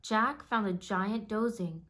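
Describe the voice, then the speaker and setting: Female storybook narrator, mid-pitched, unhurried, expressive scripted read-aloud for young children.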